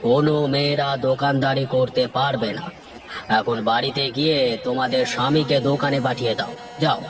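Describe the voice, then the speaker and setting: A man's voice: mid-range voice, steady tone, brisk, over music, natural voice. A man voicing a pompous, bossy village landlord in a cartoon, with an authoritative, commanding manner. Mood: stern, dismissive. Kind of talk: cartoon dub acting.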